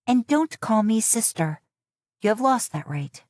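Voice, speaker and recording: Narrator doing a headstrong young woman, sharp, forceful, higher pitch, storybook. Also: sing-song, measured pace, no echo, studio quality. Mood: angry.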